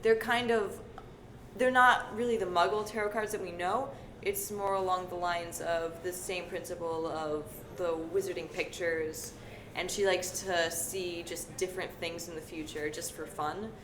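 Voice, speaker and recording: Lower-voiced woman in an echoey room. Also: steady tone, measured pace. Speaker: actress answering fan questions. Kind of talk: casual group Q&A chat. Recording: echoey room, clear background noise.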